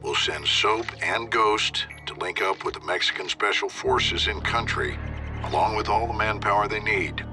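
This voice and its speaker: A man's voice: fairly low pitch, moderate pace, music in the background, natural voice. An actor playing an authoritative, pragmatic male army general in a cinematic video game. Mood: firm, businesslike.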